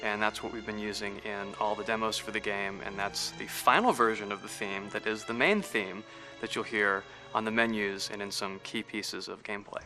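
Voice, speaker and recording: Low-voiced man, composer in interview. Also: normal speed. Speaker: film and game composer. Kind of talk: interview talk about own work. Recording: music playing behind the voice. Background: background music.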